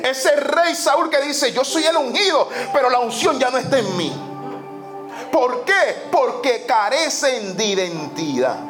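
A man's voice: very high-pitched, expressive, measured pace, music in the background. A man preaching a sermon, emphatic, repeating phrases and dropping in the English word "so". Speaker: pastor. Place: church sanctuary.

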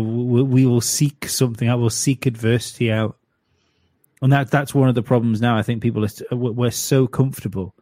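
A man's voice: mid-range voice, mellow, dry recording. A man in his late thirties, a podcast guest chatting casually, stumbling over restarts and repeated words. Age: 30s. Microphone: home studio mic.

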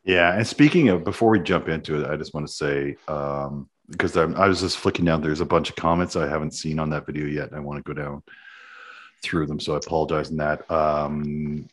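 Man, very low voice, relaxed casual talk, lots of fillers. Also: a little fast. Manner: easygoing, says many fillers like "like" and "mm". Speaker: podcast co-host. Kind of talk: casual chat.

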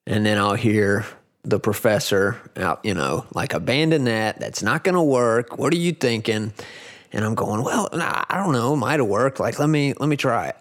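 A man in his forties chatting casually as a podcast guest, talking in halting, rambling stretches full of restarts. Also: mid-range voice, a bit bright. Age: 40s. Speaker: podcast guest, grappling competitor. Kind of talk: casual chat.

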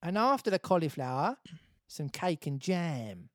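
Kindly storybook water woman played by a female reader, coaxing, lifted pitch, dry sound. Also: big pitch swings, unhurried, no echo.

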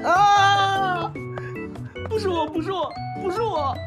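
A man's voice: extremely high pitch, slow. A man acting in an audio drama as a flustered, smitten young patient, stammering and whining his way through his lines. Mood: panicked. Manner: stammering, whiny, exclamatory. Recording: music behind.

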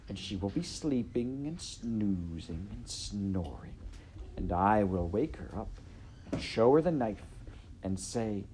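A man voicing a loud, dim-witted, eager serving girl from a storybook, with uneducated rustic grammar and blurted exclamations. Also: on the low side, a little hiss or hum, exaggerated voice, poor audio. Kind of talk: storybook character voice in audiobook narration.

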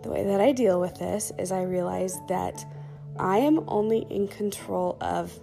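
Soft-spoken woman with a scratchy throat. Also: lowish voice, unhurried, vocal fry, faint music behind. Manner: soft-spoken, chatty with fillers. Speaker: podcast host. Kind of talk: calm guided self-talk meditation. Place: dark room at home under blankets.

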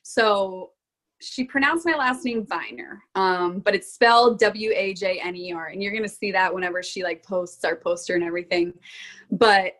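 A woman's voice: mid-range voice, normal speed, a bit bright. A young woman from Florida, a guest on a casual podcast interview, talking in a bubbly, chatty way and laughing often. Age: young adult.

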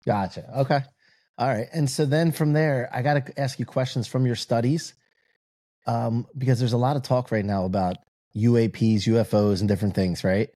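A man's voice: medium pitch, fairly even intonation, moderate pace, warm tone, no echo. A man hosting a podcast, asking long, rambling questions in a jokey, casual way. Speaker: podcast host. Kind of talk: casual interview chat.

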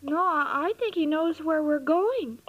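A woman's voice: high-pitched, measured pace. A woman playing a naive, tender-hearted, scatterbrained young woman in an old-time radio comedy, with an innocent, plaintive delivery. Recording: dull old radio recording, background noise.

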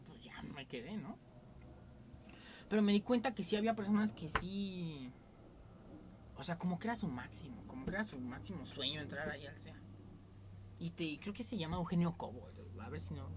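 A man's voice: fairly high pitch, moderate pace, crowd noise. A young Mexican man with a Mexican accent, telling candid stories in a casual, rambling podcast chat as its host.

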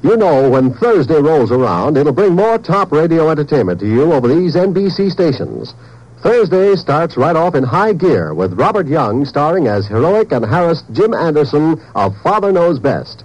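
An American male announcer with a fairly high, very animated voice. He reads a polished 1950s network promo with a salesman's delivery, on a dull old radio recording. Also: normal speed.

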